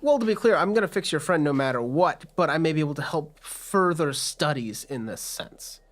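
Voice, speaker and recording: A man's voice: fairly high pitch, lively intonation, a little fast. A man improvising conversationally as game master, narrating scenes and voicing characters in a tabletop game. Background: faint music.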